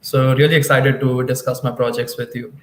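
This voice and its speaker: Flat-voiced young man in an echoey room. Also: mid-range voice, brisk. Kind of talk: casual self-introduction.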